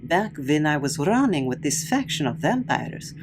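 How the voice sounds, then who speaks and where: A woman playing a calm, authoritative Soviet vampire kommissar in an audio drama, speaking in a measured, worldly way. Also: low voice, background noise. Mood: grave, explaining.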